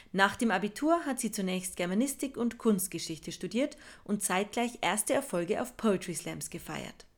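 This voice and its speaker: Woman host reading a measured introduction. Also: mid-range voice. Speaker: podcast host, interviewer. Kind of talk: read introduction and interview questions.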